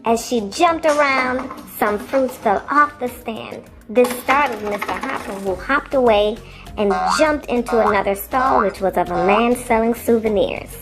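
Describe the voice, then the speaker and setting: A woman narrating a children's story in an expressive, deliberate, instructive way. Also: on the high side. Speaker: storyteller and reading teacher for children. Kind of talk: animated children's read-aloud narration. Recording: music playing behind. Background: music.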